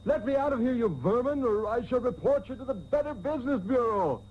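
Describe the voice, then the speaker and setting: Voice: medium pitch, lively intonation, a little fast, darkish tone, husky. An actor playing a haughty, indignant captive in a comic radio serial, with pompous, theatrical exclamations. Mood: indignant, demanding. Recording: muffled, noisy taped radio broadcast.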